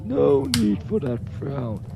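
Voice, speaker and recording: A man's voice: mid-range voice, animated, slow, vocal fry, crowd noise, exaggerated voice. A man playing a kindly, jolly old godfather in an audio drama, affectionate, teasing and theatrical. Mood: playful, fond. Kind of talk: scripted audio drama acting. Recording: music under the voice. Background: music.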